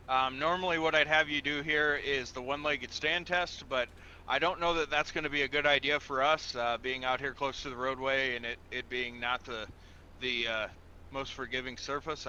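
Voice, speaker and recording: A man's voice: mid-range voice, fairly even intonation, fairly quick, bright, forceful tone, muffled recording, slight background noise. A man playing a patient, procedural police officer in an online game roleplay, explaining things step by step in a formal, instructional way. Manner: methodical, instructional, repeats himself, formal with sir.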